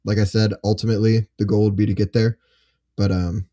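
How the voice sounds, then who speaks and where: A man's voice: lowish voice, flat delivery, a little fast, dark tone. A man in a casual panel discussion, candid and hesitant as he thinks aloud. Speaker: panel participant.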